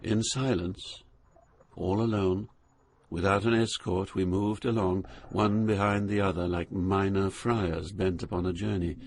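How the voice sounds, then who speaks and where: An older actor playing a solemn poet, narrating his journey through hell in a measured, literary way. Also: sits low, fairly even intonation, slight background noise. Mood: grave, haunted. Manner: grave, measured, poetic.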